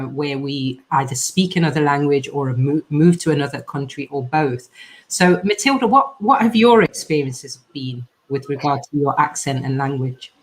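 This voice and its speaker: Low-voiced woman running a podcast chat, asks the others questions over a call. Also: slight background noise, rough recording. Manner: steers talk, asks questions. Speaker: podcast host. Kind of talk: hosting a podcast discussion.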